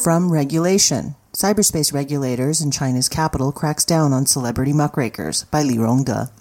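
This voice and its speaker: A news reader with a medium-pitched voice narrates a written report. The delivery is scripted news narration. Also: normal speed, darkish tone, faint music behind.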